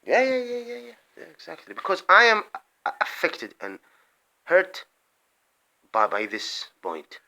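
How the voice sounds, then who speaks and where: Animated man ranting in bursts. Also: high-pitched, measured pace, creaky, pauses often. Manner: rambling, emphatic, often breaks off and restarts; swings between loud outbursts and muttered asides. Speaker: vlogger. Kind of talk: impassioned political monologue.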